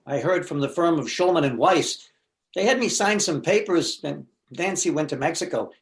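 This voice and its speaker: A man playing a neurotic, self-pitying, newly divorced film critic with deadpan complaints in a stage comedy. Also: a little high, normal speed. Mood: wry self-pity. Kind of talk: stage comedy readers theater acting.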